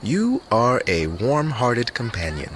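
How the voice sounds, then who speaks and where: An actor playing a placid, cult-like companion who offers reassurance in a sing-song way, with noise behind. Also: male voice, mid-range voice, wildly swooping pitch, slow pace. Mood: serene, insistent. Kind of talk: scripted dialogue of soothing affirmations.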